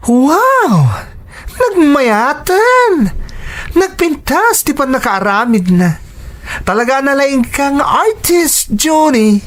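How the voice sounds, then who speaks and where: An actress with an Ilocano Filipino accent playing a gushing, generous customer in a radio drama. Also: female voice, sits high, sing-song, slow pace, heavily acted. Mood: delighted.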